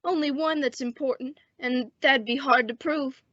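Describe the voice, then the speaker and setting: A woman's voice: high voice, fairly even intonation, moderate pace, fairly bright tone, no room sound. A teenage woman playing a wounded, dying male sheriff in an old-time western radio drama, with a strained, folksy delivery. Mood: resigned. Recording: dull, dry lo-fi radio recording.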